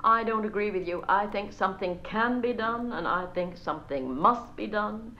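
A woman's voice: mid-range voice, moderate pace, sharp tone, slight background noise, natural voice. A woman playing a gossipy, bossy society mother in a stage monologue, gushing to friends and scolding her children between sentences, on a dull old recording. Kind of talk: solo stage character monologue.

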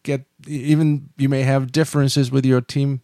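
Gravelly man musing philosophically. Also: medium pitch, level tone, moderate pace, dark tone, no echo, studio quality. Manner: philosophical, rambling with fillers. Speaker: musician guest. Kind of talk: casual radio interview chat.

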